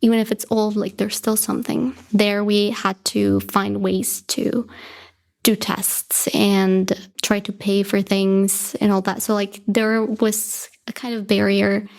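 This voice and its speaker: A woman's voice: mid-range voice, level tone, a little slow, vocal fry. A woman in her twenties from Bolivia, a native Russian speaker, talking reflectively as an interview guest and leaning on "like" and "you know". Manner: reflective, heavy use of "like" and "you know", laughs lightly. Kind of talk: casual conversational interview.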